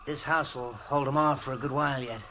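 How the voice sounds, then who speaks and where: An actor playing a blunt, matter-of-fact helper in a 1940s radio drama. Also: male voice, mid-range voice, steady tone, a little fast, pushed and bright, lightly acted. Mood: tense. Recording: old radio recording with clear hiss.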